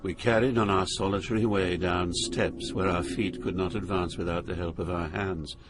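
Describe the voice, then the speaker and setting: An actor playing a sorrowful poet recounting a journey through Hell in measured, dramatic scripted narration. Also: male voice, sits low, steady tone, noisy background, lightly acted. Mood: solemn, haunted.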